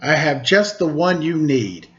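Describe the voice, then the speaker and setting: A man voicing a calm, reassuring senior monk in a storybook tale. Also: a little high, measured pace. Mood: calm, assured. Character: unruffled senior monk of warrior cat shrine. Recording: dull lo-fi recording.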